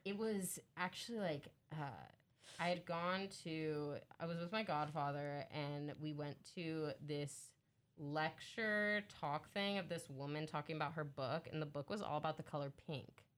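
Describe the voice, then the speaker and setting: A woman's voice: sits low, a little slow. A young woman co-hosting a casual podcast, chatty and rambling with lots of filler words. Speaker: podcast co-host. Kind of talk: casual chat.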